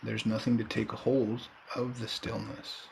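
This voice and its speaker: A man leading a guided meditation in a calm, unhurried manner, leaving long pauses between short phrases. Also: fairly low pitch, gravelly, slight background noise, low-quality recording. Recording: background nature ambience and birdsong. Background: nature and bird sounds.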